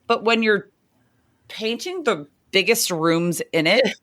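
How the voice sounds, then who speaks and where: A woman co-hosting a teaching podcast, chatty and rambling, her voice slightly hoarse from the end of the school year. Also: medium pitch, slow. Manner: chatty, animated, rambling with fillers. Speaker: podcast co-host. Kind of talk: casual chat.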